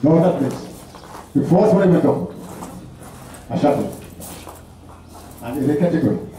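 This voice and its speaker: A man's voice: a little high, lively intonation, a little slow, warm tone, a little hiss or hum. A Vincentian man playing a wary, superstitious veteran whaler in a live stage dramatization, with an insistent, repetitive way of talking. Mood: uneasy. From St. Vincent and the Grenadines. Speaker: performer in a folk whaling troupe. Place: festival tent stage, far camera. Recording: distant, very echoey, muffled festival tent recording.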